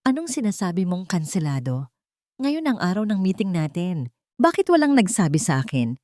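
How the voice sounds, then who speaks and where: Elderly wealthy matriarch for audio melodrama, played by a woman: commanding, indignant, raised pitch, dry sound. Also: big pitch swings, normal speed, warm tone, no room sound. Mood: angry.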